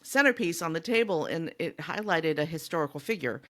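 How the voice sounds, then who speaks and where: A woman co-hosting a podcast, chatting casually and in an animated way about a book. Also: low voice, brisk. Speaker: podcast co-host.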